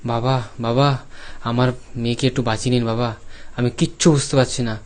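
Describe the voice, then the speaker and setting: A man's voice: medium pitch, level tone, fast, darkish tone, close to an everyday voice. A man voicing a worried village father in a cartoon story, agitated and pleading, repeating himself. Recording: clear music under the voice.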